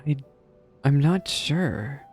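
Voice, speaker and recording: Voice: mid-range voice, animated, extremely slow, darkish tone. A game streamer giving casual live commentary, musing aloud in a doubtful way. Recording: game music behind voice.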